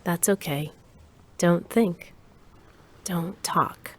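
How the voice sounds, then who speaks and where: A woman's voice: lowish voice, extremely slow, husky, exaggerated voice. A woman playing a wry, wistful former rocker, confiding her memories as first-person narrator of an audio drama. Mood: tender.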